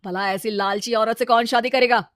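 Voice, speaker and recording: A woman voicing an arrogant, fickle husband, boastful and wheedling, in an animated story. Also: on the high side, moderate pace, no echo, studio quality, natural voice. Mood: dismissive. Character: arrogant, fickle, money-proud husband, easily smitten.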